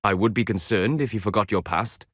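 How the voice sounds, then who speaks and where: A man playing a solemn, learned elven mage in a video game, proud and regretful, speaking in a measured, formal way. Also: fairly low pitch, fairly bright tone, no echo, clean studio sound. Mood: solemn, reflective. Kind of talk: scripted game dialogue.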